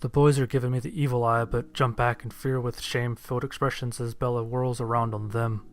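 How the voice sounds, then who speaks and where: A man narrating a first-person horror story from a script in a measured, matter-of-fact way. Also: medium pitch, little pitch movement.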